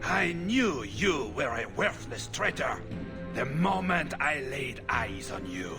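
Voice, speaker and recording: A man's voice: medium pitch, very animated. A man playing a pompous, spiteful baron, a theatrical villain in a fantasy video game with a grudge. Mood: indignant, spiteful. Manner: pompous, theatrical, drawn-out delivery. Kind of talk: theatrical game cutscene acting. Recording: music under the voice. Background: music.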